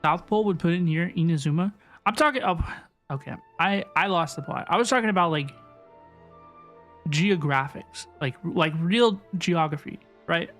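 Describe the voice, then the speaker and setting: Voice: medium pitch, normal speed, fairly bright tone. A livestreamer chatting casually with viewers while playing a video game, rambling and reacting aloud in slang. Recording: game music behind the voice. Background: video game music and dialogue.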